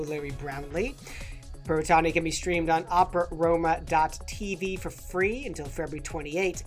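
A woman with a fairly low voice leads a podcast as its host. Her delivery is dry and teasing, part scripted and part banter. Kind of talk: lightly scripted hosting and banter.

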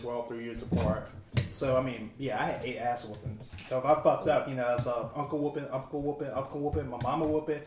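A man in his thirties telling relaxed, slangy stories in a casual group chat. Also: medium pitch. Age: 30s. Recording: roomy, distant mic, noise.

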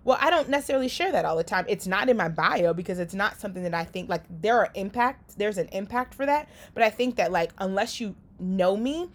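A woman from Liberia giving casual career coaching, talking conversationally with frequent "right?" and quick restarts. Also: mid-range voice, lively intonation. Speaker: career coach and company founder. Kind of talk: casual coaching talk to an audience.

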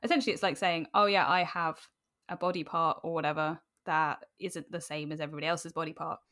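A woman's voice: low-pitched, fairly even intonation, fast talker, bright, forceful tone. A woman in her twenties with a British accent, talking casually as a podcast guest, rambling and acting out imagined remarks as they go. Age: 20s. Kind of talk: casual interview chat.

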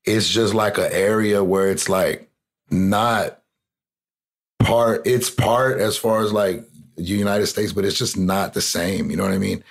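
Young South Texas guy, low husky rasp, rambling casual interview talk full of fillers. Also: steady tone, a little slow. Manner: rambling, heavy "like" fillers, swearing. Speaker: interview guest, rapper.

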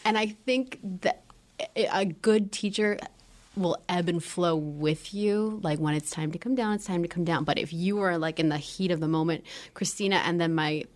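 Brief: young woman, yoga teacher, low-ish voice, relaxed chatty podcast host who laughs a lot. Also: normal speed. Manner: laughs often, self-corrects. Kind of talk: casual podcast chat.

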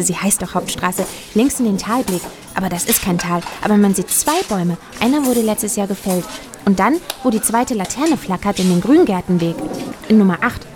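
A woman's voice: mid-range voice, lightly acted. A woman in an audio drama playing a naive, lonely hotel receptionist who rambles earnestly in over-literal detail. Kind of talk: German audio drama acting.

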